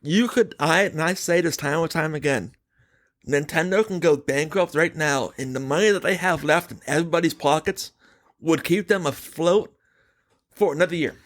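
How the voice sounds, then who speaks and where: A man with a fairly high voice talks as a podcast host, recorded very dry with almost no room around him. He chats casually but makes his points emphatically, full of opinion and the odd swear word. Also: moderate pace, a bit bright, no echo.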